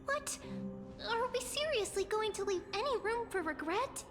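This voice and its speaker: A woman voicing a small, cheerful, excitable girl child in a video game, with childlike exclamations. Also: very high voice, normal speed. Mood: indignant. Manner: childlike, exclaiming, third-person self-reference. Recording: music behind the voice. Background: game music.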